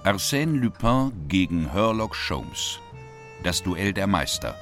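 A man's voice: low voice, very animated, takes it slowly, a bit bright. A man formally announcing radio play titles as an announcer. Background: plucked guitar music.

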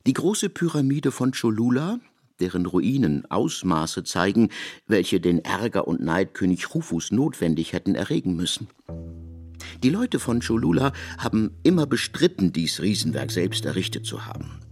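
A man with a low voice narrates a literary radio production. His delivery is formal and measured, in a storytelling manner, with faint music behind him. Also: sing-song, a little mellow, husky.

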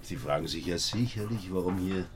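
An actor with an Austrian accent playing a frank, defiant old care-home resident with a gravelly voice in a radio drama. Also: male voice, low-pitched, a little fast, noisy background, lightly acted. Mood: frank, composed. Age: older.

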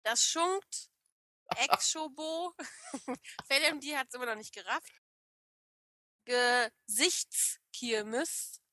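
A woman with a regional German dialect chats playfully on a streamed podcast, laughing often. Her voice sits a little high and sounds bright and crisp. The recording is dry and close, with no room sound. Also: extremely slow, long pauses, dry recording. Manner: playful, reads chat names aloud, frequent laughs. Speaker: podcast co-host. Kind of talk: casual streamed podcast chat.

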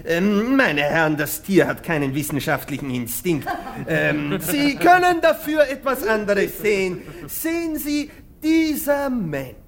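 A man's voice: high-pitched, big pitch swings, a little slow, few pauses, crowd noise, exaggerated voice. A man playing a pompous, callous doctor who lectures his students with theatrical, condescending exclamations in a radio drama. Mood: excited, condescending.

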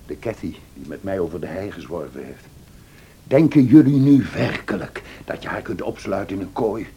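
An actor playing a brooding, vengeful, passionate lover in a Dutch radio drama, intense and theatrical, by turns scornful and pleading. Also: male voice, low-pitched, expressive, moderate pace, dark tone, breathy edge, heavily acted. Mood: commanding, pleading. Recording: noisy old radio play recording.

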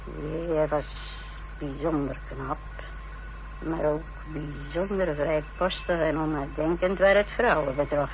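An actress playing a proud, defiant middle-aged mother in a radio drama, speaking in a haughty, theatrical, deliberate way. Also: deep voice, steady tone, soft background music. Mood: confiding, proud. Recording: dull, noisy old radio recording.

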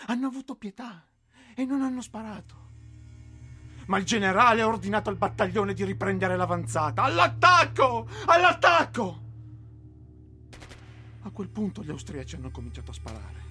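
An actor playing a frightened, exhausted and bitter infantry soldier in a theatrical, emotional radio drama style. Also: male voice, high voice, big pitch swings, moderate pace, pauses often, pushed and bright, breathy edge, soft background music, exaggerated voice. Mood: bitter, indignant.

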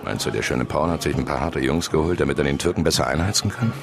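An actor in a German radio drama playing a streetwise newspaper reporter with a casual, probing, laconic way of talking. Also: male voice, extremely low pitch, fast, gravelly, crowd noise.

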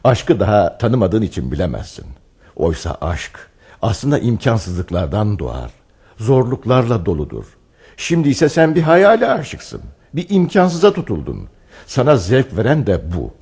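A man's voice: lowish voice, huge pitch swings, moderate pace, darkish tone, husky, heavily acted. An actor playing a wry, knowing male storyteller in a Turkish radio play, with a theatrical, teasing delivery. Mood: insistent, knowing. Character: wry male storyteller who chats knowingly with his hero. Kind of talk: Turkish radio theatre acting, narration and dialogue.

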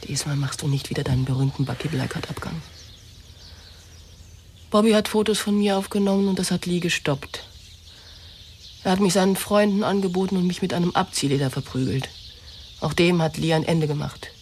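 A woman's voice: on the low side, fairly quick, long pauses, darkish tone, distant mic, slight background noise, lightly acted. An actress in a German radio drama playing a wounded young woman, pleading and confessing with insistent emotion. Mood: pleading, defiant.

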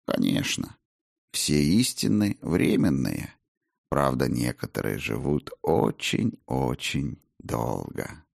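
A man voicing a calm, self-satisfied monk teacher in an audiobook, speaking slowly and weightily in aphorisms. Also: very deep voice, gravelly, no echo, clean studio sound, pitched below the performer's own voice, heavily acted. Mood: calm, smug.

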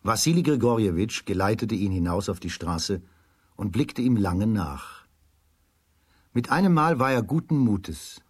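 Male narrator, lowish breathy voice, dry close sound, scripted children's storytelling. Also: expressive, unhurried, dry recording.

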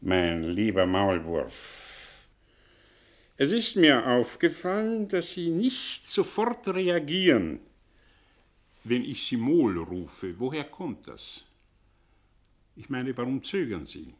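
An actor playing a sly, theatrical professor in a radio drama, mocking and needling with erratic emphasis. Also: male voice, mid-range voice, big pitch swings, slow, long pauses. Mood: mocking, probing. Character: sly, probing, theatrical older male professor.

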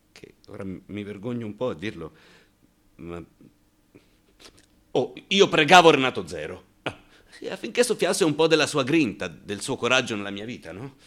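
Deep-voiced anxious, ironic man. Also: fairly bright tone, heavily acted. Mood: nervous, confiding, then fervent. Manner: halting, rhetorical asides, swings from muttering to outbursts. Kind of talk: theatrical radio monologue.

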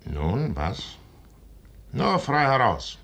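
An actor playing a proud, shrewd imperial general in a classic German radio play, with theatrical, emphatic verse delivery. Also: male voice, medium pitch, huge pitch swings, drawn-out words, vocal fry, full character voice. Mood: probing, haughty. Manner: theatrical, emphatic delivery swinging between hushed brooding and forceful declamation.

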